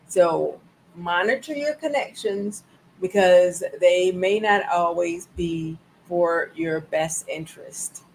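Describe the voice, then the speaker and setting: A woman's voice: mid-range voice, slight background noise, poor audio. A woman of sixty hosting a wellness live stream, repeating her points and chatting to viewers with bursts of laughter. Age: 60s. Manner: animated, repeats phrases, laughs, talks to viewers. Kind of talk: casual live-stream talk. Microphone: live-stream setup.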